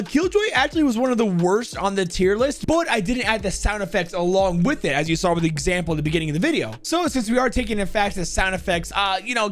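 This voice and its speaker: A man's voice: high voice, a bit bright. A man giving casual, opinionated tier-list commentary as a video host in a conversational way.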